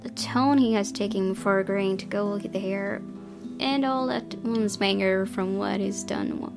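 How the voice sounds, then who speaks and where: A woman's voice: mid-range voice, measured pace, gravelly. A young woman reading visual novel narration aloud as the host of a gaming video. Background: game music with guitar.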